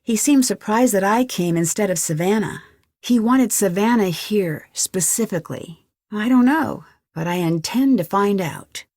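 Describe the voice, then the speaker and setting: A woman's voice: mid-range voice, unhurried, a little mellow, no echo, clean studio sound, natural voice. An actress playing a curious, level-headed young female detective, chatting earnestly and a little wryly in a video game. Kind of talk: conversational game dialogue, one side of phone calls.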